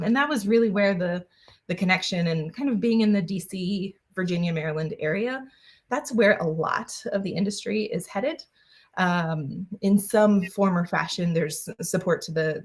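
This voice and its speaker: A woman guest on an interview podcast, answering in a chatty way with many fillers and restarts. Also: on the low side. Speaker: guest, engineer.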